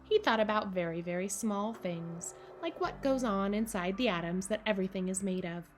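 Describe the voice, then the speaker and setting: Expressive woman reading aloud, music behind. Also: mid-range voice, normal speed. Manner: expressive, addressing young listeners and a companion character playfully. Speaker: camp director reading a picture book to children. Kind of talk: children's storybook read-aloud. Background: background music.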